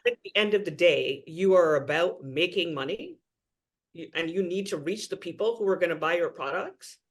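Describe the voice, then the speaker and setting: Guy on a podcast, earnest and emphatic, kinda high pitched. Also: moderate pace, fairly bright tone. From Trinidad and Tobago. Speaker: guest. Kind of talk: podcast interview.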